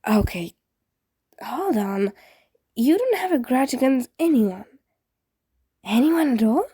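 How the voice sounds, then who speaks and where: A woman's voice: sits high, big pitch swings, slow pace, mellow, breathy edge, dry recording, studio quality, heavily acted. A woman voicing an awkward, puzzled, apologetic teenage boy in a story, hesitant and rambling in a casual way.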